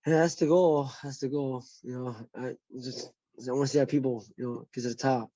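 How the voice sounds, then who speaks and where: A man's voice: medium pitch, steady tone, fairly quick, mellow, no room sound. Man, upbeat, stumbling restarts, dry muffled lo-fi sound. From United States. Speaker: guest, former fighter. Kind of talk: casual interview chat.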